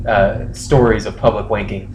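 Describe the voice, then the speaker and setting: A man's voice: lowish voice, steady tone, moderate pace, a little mellow. A man hosting a comedy podcast, casually reading internet posts aloud with wry, crude asides. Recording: roomy echo, faint noise.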